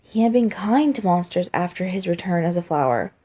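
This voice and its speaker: A woman's voice: on the low side, lively intonation, a little fast, dark tone, breathy edge. A woman narrating a dramatic story from a script as a fan fiction reader.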